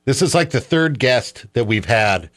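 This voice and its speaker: A man's voice: mid-range voice, lively intonation, unhurried, a bit bright, no room sound, clean studio sound. A man hosting a radio show, chatting casually in a joking, teasing way. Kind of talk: casual chat.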